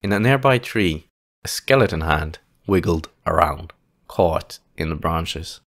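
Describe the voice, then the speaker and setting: A man's voice: low-pitched, expressive, unhurried. A man narrating a scripted fantasy audio play as a dramatic storyteller. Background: music.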